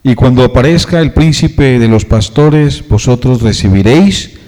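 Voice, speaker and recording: A man reading a Bible passage aloud to a church in a solemn, measured manner. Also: medium pitch, warm tone. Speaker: congregant reading scripture. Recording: hall reverb.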